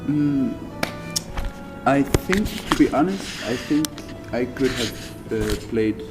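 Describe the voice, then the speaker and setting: A man, a jazz bassist answering interview questions thoughtfully, with lots of hesitations and restarts. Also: expressive, slow, rough recording. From Denmark. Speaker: jazz double bass player, interviewee. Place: concert venue. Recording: music playing underneath. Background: music playing.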